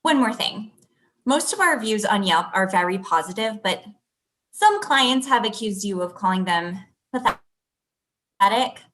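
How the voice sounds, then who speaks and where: A woman's voice: medium pitch, lively intonation, measured pace, fairly bright tone. A young woman playing a polite, patient thirty-year-old businesswoman in a comedy script read over a video call. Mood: polite, tactful. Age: young adult. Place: indoor room at home, video call. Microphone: computer mic on video call.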